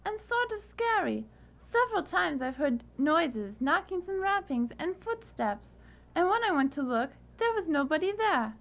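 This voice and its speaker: A woman's voice: very high voice, big pitch swings, moderate pace, full character voice. A woman playing a timid, frightened, deferential housekeeper in a student radio drama. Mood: frightened, hushed.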